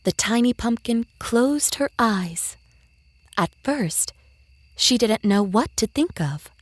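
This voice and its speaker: Slow, expressive woman storyteller. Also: on the high side, no room sound. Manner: expressive, gentle, measured storytelling for young children. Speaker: storyteller, narrator. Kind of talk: scripted children's story narration.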